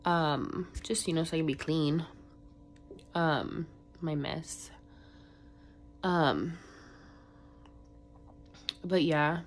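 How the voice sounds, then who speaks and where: A woman's voice: sits low, drawn-out words, pauses often. A young woman, congested from a cold, rambling casually in a vlog with lots of fillers. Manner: rambling, filler-heavy, chatty. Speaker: vlogger.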